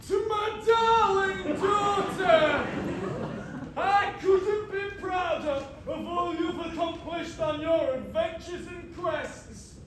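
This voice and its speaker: Male actor as proud pantomime daddy, drawn-out effusive declaiming, distant and noisy stage sound. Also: very high-pitched, a bit bright, heavily acted. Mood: proud, gushing. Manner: declamatory, gushing. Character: doting, proud father reading out his letter. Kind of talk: student pantomime stage acting. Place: theatre stage. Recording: distant echoey stage, clear noise.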